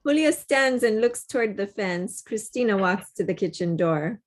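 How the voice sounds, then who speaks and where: A woman's voice: medium pitch, lively intonation, normal speed. A woman reading scripted stage directions as narrator of a table read over a video call.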